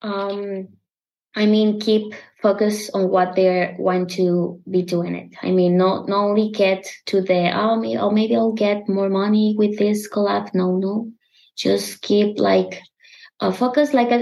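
A woman's voice: mid-range voice, warm tone, low-quality recording. Hesitant woman with a Mexican accent. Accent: Mexican Spanish. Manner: halting, many restarts and repeated words. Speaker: podcast guest. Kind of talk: casual interview chat.

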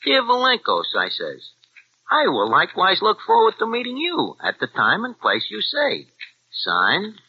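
A man's voice: high-pitched, wildly swooping pitch, measured pace, husky, full character voice. An actor playing a small-time crook who narrates his scheme in slangy tough-guy talk with bad grammar, in 1940s radio drama style. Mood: scheming. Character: streetwise small-time crook posing as an Englishman. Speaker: narrator and lead character. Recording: dull old radio recording with music.

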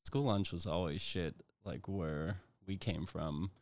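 Laid-back low-voiced man in his thirties. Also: slow pace, a little mellow, dry recording, clean studio sound. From West Virginia. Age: 30s. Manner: laid-back, laughs often while talking. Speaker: guest comedian. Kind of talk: casual podcast chat.

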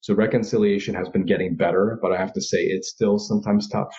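A man from Florida speaks as an interview guest in a low voice, earnest and reflective, often chuckling. The sound is a little muffled, as if the recording is lo-fi. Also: steady tone, fast, warm tone. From Florida, USA. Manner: reflective, earnest, laughs lightly, acts out quoted people. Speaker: author and guest. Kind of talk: candid interview conversation.

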